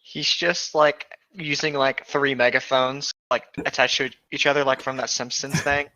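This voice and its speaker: A man co-hosts a casual read-aloud with deadpan remarks. His voice is bright and forward, though the dry recording sounds dull and lo-fi. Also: mid-range voice, moderate pace, dry recording. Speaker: co-host reading aloud. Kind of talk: casual reading and deadpan comments.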